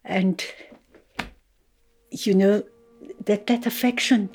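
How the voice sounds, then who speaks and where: An older woman from Poland, slowly and thoughtfully recalling her life in an interview, with soft laughs. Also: mid-range voice, steady tone, husky. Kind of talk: reflective personal recollection.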